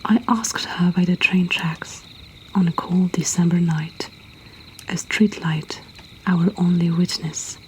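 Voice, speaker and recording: A woman softly whispering a poetry reading as an intimate storyteller host, breathy and deliberate with long pauses. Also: lowish voice, fairly even intonation, slight background noise, low-quality recording.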